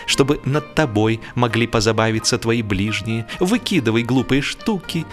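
A man's voice: medium pitch, natural voice. An imperious stomach lording it over the mind in a humorous audiobook, acted out by a male narrator. It issues grand, theatrical commands in declamatory style, as a master would to a slave. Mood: mocking. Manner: imperious, bombastic, declamatory orders.

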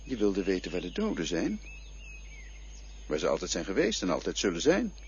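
A man as a calm, enigmatic guide to death. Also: low-pitched, moderate pace, lo-fi sound, a little hiss or hum, full character voice. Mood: musing. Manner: philosophical, quietly insistent, lecturing. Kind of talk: radio drama acting.

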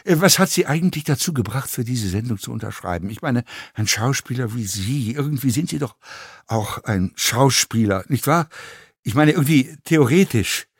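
A man's voice: medium pitch, lively intonation, normal speed, husky, dry recording, clean studio sound, pitched above the performer's own voice. A man voicing an intrusive, chatty male passenger in a story, rambling with hesitations and needling insults.

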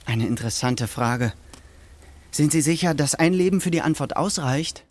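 An actor playing a cynical, disillusioned engineer in a stage-like radio drama, speaking in ironic, declamatory aphorisms. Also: male voice, on the high side, lively intonation, normal speed. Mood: musing. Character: cynical disillusioned male engineer, brooding intellectual dreamer.

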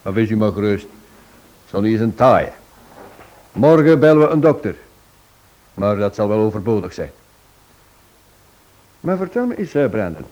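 An actor playing a cheeky, confident sleuth in a radio drama, with a jaunty, wry manner. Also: male voice, fairly low pitch, very animated, moderate pace, long pauses, darkish tone, muffled recording. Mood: jaunty, reassuring. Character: cheeky, confident male sleuth posing as inspector.

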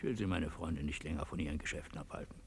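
An actor playing an imperious, coldly courteous Latin American dictator in a radio play, husky, gravelly and formally menacing. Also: male voice, rumbling low, rapid-fire, a loud crowd. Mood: coldly polite. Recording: echoey hall with long reverb.

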